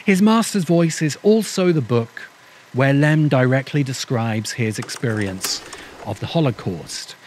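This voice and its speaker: A middle-aged man narrating a scripted essay as host, in an emphatic, deliberate way with dramatic pauses, close to the microphone. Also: medium pitch, a little mellow, slight background noise. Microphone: close desk microphone. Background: music.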